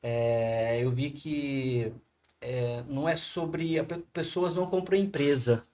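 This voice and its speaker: A man spinning anecdotes as a podcast guest, his voice sitting at an ordinary mid pitch. He talks in animated bursts, peppering every thought with little tag questions. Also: slow. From Paraná, Brazil. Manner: animated anecdotal telling, frequent "né". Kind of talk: storytelling in casual conversation.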